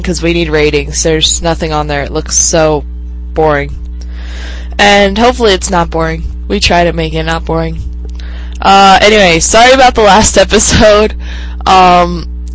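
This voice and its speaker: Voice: mid-range voice, low-quality recording. Teen podcast host with a stuffy cold. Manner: rambling, many ums and restarts. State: congested with a cold, sniffling. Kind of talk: casual solo podcast chat.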